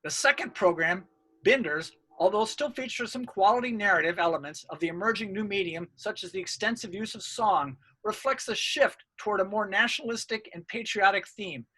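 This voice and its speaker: A man's voice: high voice, lively intonation, a little fast, bright, forceful tone, rough recording. A man reading out a scripted academic paper as a researcher, in a formal and earnest manner. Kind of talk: scripted academic presentation, read aloud.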